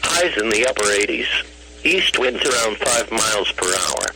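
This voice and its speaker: A synthetic man's voice reading a scripted weather bulletin over the radio, formal and flat, in clipped phrases. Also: fairly low pitch, normal speed. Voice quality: synthetic text-to-speech voice. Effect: radio broadcast. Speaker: automated weather radio announcer. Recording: noisy, humming weather radio broadcast recording.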